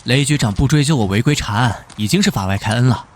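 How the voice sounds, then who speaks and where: A male actor voicing an earnest, good-natured, easily flustered police detective in an animated drama. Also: mid-range voice, quick pace, background noise, close to an everyday voice. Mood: calm, mild. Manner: conciliatory, earnest.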